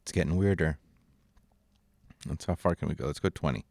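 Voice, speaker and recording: A man narrating a software tutorial as an instructor, informal and thinking aloud as he goes. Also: rumbling low, fairly quick, slight background noise. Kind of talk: casual screen-recorded tutorial narration.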